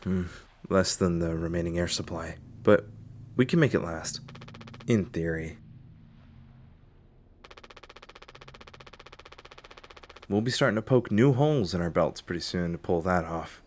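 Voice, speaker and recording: A man plays a stranded spaceship's acting captain in a game dub. The voice is deep, with a firm, commanding and measured manner. A little noise sits behind the serious, practical delivery. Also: expressive, a little mellow. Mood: pragmatic.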